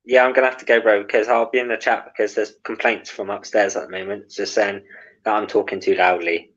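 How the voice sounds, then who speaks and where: A man calling into a live stream, chatting in a relaxed, friendly way as he signs off. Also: lowish voice, a little fast. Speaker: caller on a livestream. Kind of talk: casual chat. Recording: dull, distant call audio.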